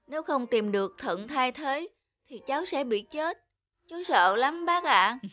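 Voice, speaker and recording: A woman voicing a gentle, anxious young schoolboy in a cartoon, speaking politely and earnestly. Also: high voice, animated, unhurried, dull audio. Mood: fearful. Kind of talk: cartoon dubbing.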